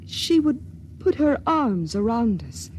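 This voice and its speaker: An actress playing a timid young woman on a ship who speaks softly, fearfully and pleadingly in a 1950s radio drama. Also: fairly high pitch, very animated, slow. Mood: tender. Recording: faint hiss of an old radio broadcast.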